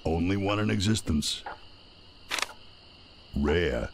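Very deep gravelly shady peddler. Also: male voice, animated, slow, noisy background, exaggerated voice. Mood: sly. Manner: terse, sly salesman. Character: shady, gruff street map peddler, male.

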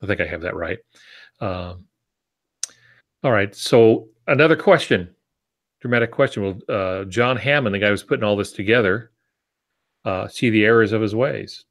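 A man's voice: on the low side, normal speed, long pauses. An American man in his sixties teaching informally with asides, talking on a video call from a home office. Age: 60s. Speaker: writing teacher, podcast co-host. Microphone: wired earbuds on a video call.

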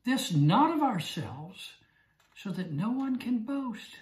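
Slow, emphatic older man teaching Scripture. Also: sits high, big pitch swings, echoey room. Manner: slow, emphatic, repeats key phrases. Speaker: Bible teacher. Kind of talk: Bible study lecture. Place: small room indoors. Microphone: lapel mic.

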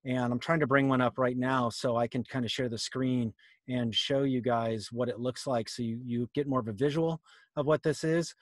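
A man in his fifties explaining things casually in a halting, think-aloud way on a video call. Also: mid-range voice, steady tone, no room sound. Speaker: workshop presenter. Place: small cluttered indoor room.

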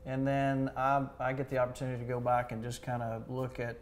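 A man's voice: medium pitch, brisk, slight background noise. A middle-aged man with a Southern US accent, a cabinet designer chatting casually as a guest in an interview. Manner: relaxed, chatty, many fillers. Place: large home kitchen, indoors.

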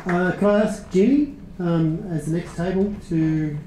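Mellow middle-aged Australian facilitator. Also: male voice, sits high, measured pace, a little hiss or hum. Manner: relaxed, informal, many fillers. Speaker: workshop facilitator. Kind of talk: facilitating a workshop. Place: large conference room. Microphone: lectern mic. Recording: echoey conference room.

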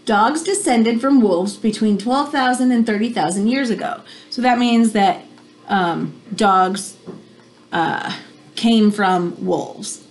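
An American woman in her thirties reading a children's nonfiction book aloud at home, pausing to explain things simply like a teacher. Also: mid-range voice, low-quality recording. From United States. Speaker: read-aloud host for children. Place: small room at home, indoors.

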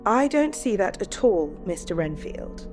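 An actress playing a gracious, self-possessed young wife in an audio drama, speaking politely and formally. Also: female voice, mid-range voice, sing-song, measured pace, over music.

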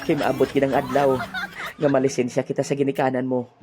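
A woman's voice: very deep voice, little pitch movement, brisk, noisy background. A woman playing a cheerful village sweetheart in a comic audio drama, with a Hiligaynon accent. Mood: playful, affectionate.

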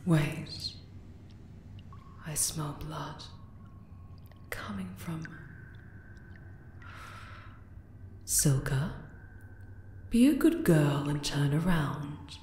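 A woman's voice: low voice, lively intonation, extremely slow, pauses often, dark tone, slight background noise, exaggerated voice. Woman playing a spider monster queen in asmr rp, tender and regal, takes her time, roomy echo. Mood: alarmed, protective. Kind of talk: ASMR roleplay acting. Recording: roomy, echoing cave-like reverb.

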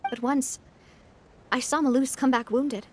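A woman voicing a cheerful, sincere young woman leader in a video game, speaking in a gentle, earnest, measured way. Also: high-pitched, fairly even intonation, a little hiss or hum. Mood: earnest, wistful. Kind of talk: animated video game voice acting. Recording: soft music behind the voice. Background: soft game music.

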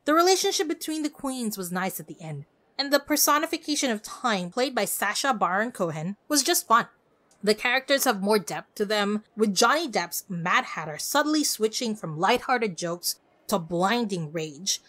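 A woman hosting a solo podcast, giving a scripted review in an expressive, opinionated way. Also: medium pitch, normal speed. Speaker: podcast host.

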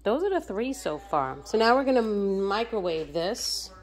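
A woman's voice: mid-range voice, very animated, measured pace. Chatty woman cooking at home. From United States. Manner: chatty, narrating each step as she cooks. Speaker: home cook vlogger. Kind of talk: casual cooking narration. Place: home kitchen.

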